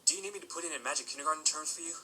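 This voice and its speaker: A man's voice: on the high side, racing, pushed and bright, a little hiss or hum. A man playing a proud, sarcastic young stallion who studies magic, acting in an audio drama. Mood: exasperated. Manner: dry, sarcastic, hesitant when cornered. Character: sardonic, proud, self-deprecating young stallion magic student.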